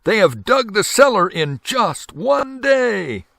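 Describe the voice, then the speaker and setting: A man voicing excited small-town onlookers calling out in a children's storybook reading. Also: high voice, takes it slowly, gravelly, dry recording, clean studio sound, pitched above the performer's own voice, heavily acted. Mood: jubilant.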